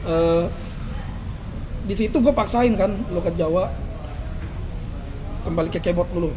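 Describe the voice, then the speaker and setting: A young man from Makassar, a comedian, telling stories in a lively casual chat and laughing often. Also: high voice, measured pace, background noise. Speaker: comedian guest.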